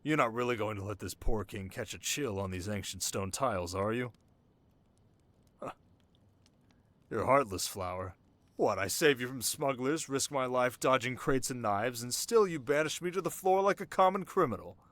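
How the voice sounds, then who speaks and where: An actor playing a sharp, haunted young king in an intimate ASMR romance roleplay, talking wryly and dramatically to his listener. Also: mid-range voice, animated, normal speed, no room sound, lightly acted. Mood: playful complaining. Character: young desert king, sharp, wry, haunted, teasingly tender.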